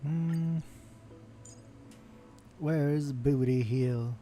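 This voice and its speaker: Slow, dark-voiced man muttering at a game. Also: a little high, soft background music. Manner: mutters to himself while playing. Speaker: streamer. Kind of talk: casual gameplay commentary.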